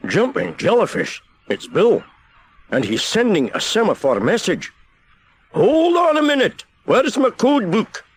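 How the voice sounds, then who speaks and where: A man voicing an excitable, giggly, self-important lighthouse keeper in a children's storybook, with flustered, exclamatory delivery. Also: high voice, a little slow, slightly rough, pitched above the performer's own voice, full character voice. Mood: excited. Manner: exclamatory, flustered, over-eager. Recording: hissy old cassette transfer.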